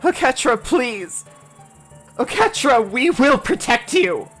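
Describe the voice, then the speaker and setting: A woman acting as a fierce female vizier in a fantasy audio drama, desperate and urgent. Her high voice calls out pleadingly over faint music. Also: sing-song, slow pace, a bit bright, heavily acted.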